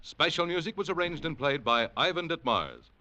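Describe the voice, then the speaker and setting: A man's voice: a little high, expressive, moderate pace, heavy background noise. A man reading commercials and credits as a 1940s radio announcer, polished and upbeat in the old declaiming style.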